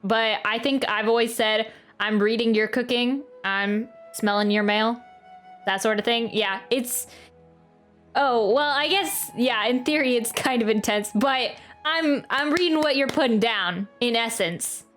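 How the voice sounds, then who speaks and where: A woman's voice: fairly high pitch, measured pace, fairly bright tone. A woman streamer giving casual live game commentary, chatty and musing aloud, close to the mic. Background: game music.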